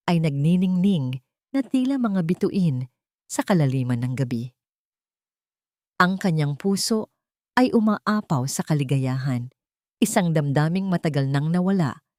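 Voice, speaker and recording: A woman narrating a scripted romantic drama story in a measured, sentimental way. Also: sits low, warm tone, dry recording, studio quality.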